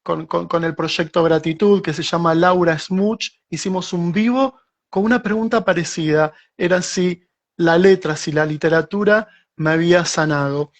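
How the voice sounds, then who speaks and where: A middle-aged Argentine man with an Argentine Spanish accent, a guest writer answering thoughtfully in a casual interview over a video call, often restarting his phrases. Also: a little high. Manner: reflective, with frequent restarts and slow stretches.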